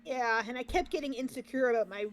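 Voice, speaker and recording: A woman's voice: sits high, fast, rough recording. A woman co-hosting a reaction livestream, chatting casually with the viewers. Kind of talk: casual chat with stream viewers.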